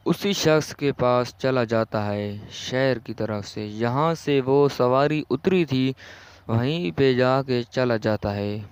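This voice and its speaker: A man's voice: medium pitch, clean tone, dry recording. A man narrating a scripted cartoon story as the storyteller.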